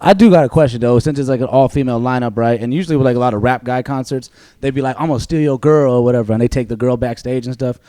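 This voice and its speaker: A man's voice: mid-range voice, rapid-fire, dark tone, no room sound. A man co-hosting a radio show, chatty and joking as he asks the guest questions. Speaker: radio co-host, hip-hop reporter. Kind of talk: casual interview chat.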